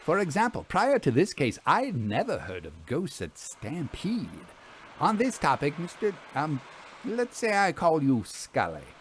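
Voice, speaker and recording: A man voicing an assured, brisk woman investigator in a story, with formal, articulate and inquisitive speech. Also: a little high, wildly swooping pitch, a little hiss or hum, voice pushed up. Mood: brisk, probing. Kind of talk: audio storytelling, acted dialogue.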